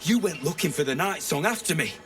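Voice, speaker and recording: A man's voice: fairly high pitch, lively intonation, brisk, close to an everyday voice. A man playing a brash, greedy, foul-mouthed rogue in a fantasy game, swaggering and irritable. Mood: wry, threatening. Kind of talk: video game voice acting. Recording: distant, loud music behind.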